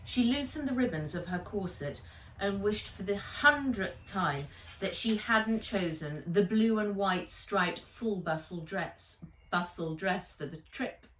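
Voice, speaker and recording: A woman reading a children's adventure story aloud in an expressive, deliberate storytelling manner. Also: mid-range voice, slight background noise. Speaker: author reading own book aloud. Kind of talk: dramatized children's audiobook narration. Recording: roomy echo, distant microphone.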